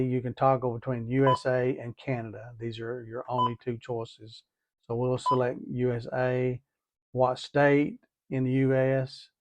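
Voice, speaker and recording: A man's voice: mid-range voice, noisy background. A man giving a methodical, hands-on tutorial, talking through each step and repeating as he goes. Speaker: DIY product demonstrator.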